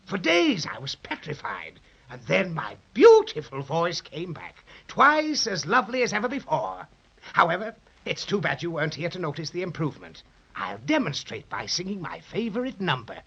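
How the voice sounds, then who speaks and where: Vain crooning crocodile, gravelly and husky, theatrical, pompous, old radio serial. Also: male voice, on the high side, huge pitch swings, normal speed, a bit bright, full character voice. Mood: self-pitying, vain. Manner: pompous, flowery, melodramatic. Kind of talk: 1930s children's radio serial acting. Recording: dull 1930s radio recording.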